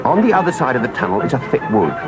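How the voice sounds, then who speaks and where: A man's voice: mid-range voice, sing-song, rapid-fire, over loud music, natural voice. A man voicing a stern but kindly engine driver in a storybook, earnest and plain-spoken. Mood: urgent.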